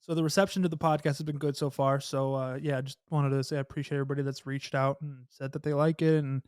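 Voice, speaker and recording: A young man hosting a casual podcast, slightly hoarse, rambling and laughing as he talks, swearing now and then, on a studio mic in a small home studio. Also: medium pitch, little pitch movement, fast, darkish tone, no room sound. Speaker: podcast host. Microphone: studio mic with headphones, on camera.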